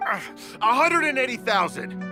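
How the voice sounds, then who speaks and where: An actor playing an eager, smug male auction bidder in a video game, excitable and boastful. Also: very high voice, moderate pace, over music. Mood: competitive, excited.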